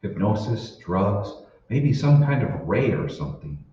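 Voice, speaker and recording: A man's voice: fairly low pitch, big pitch swings, unhurried, mellow. A man playing an earnest, determined radio-drama hero who uncovers a conspiracy, speaking with urgent emphasis. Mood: urgent, astonished. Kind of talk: old-time radio drama acting. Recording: echoey hall, distant, muffled, noisy.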